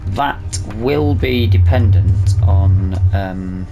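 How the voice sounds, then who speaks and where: A man's voice: low voice, extremely slow, highs cut off. A British man giving casual let's-play commentary, musing aloud to himself as he goes. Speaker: gaming video host.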